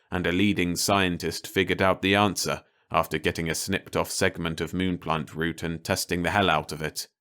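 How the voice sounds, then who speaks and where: A man reading a story aloud as an audiobook narrator, in a measured, wry storytelling manner. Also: deep voice, fairly bright tone, clean tone. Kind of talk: scripted story narration, read-along audiobook.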